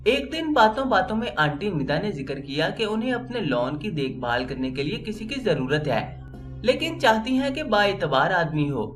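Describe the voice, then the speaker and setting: A man narrates a scripted story in a notably high voice. His intonation is very animated, moving freely up and down as he reads. Music plays behind his narration. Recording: music under the voice. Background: background music.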